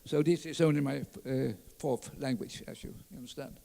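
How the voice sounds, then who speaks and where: An older man from southern Sweden with a Swedish accent, speaking English as a fourth language, giving a halting talk at a lectern full of fillers and restarts. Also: medium pitch, steady tone, vocal fry, warm tone. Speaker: conference speaker. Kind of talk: reminiscing conference talk. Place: large conference hall. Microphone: lectern mic. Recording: reverberant hall.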